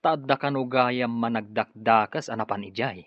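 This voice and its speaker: A man's voice: medium pitch, normal speed, no room sound, close to an everyday voice. An actor playing a greedy, scheming man with an emphatic, menacing manner in an Ilocano radio drama. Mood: frustrated. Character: scheming businessman relative, forceful and greedy. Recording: dull lo-fi radio drama sound.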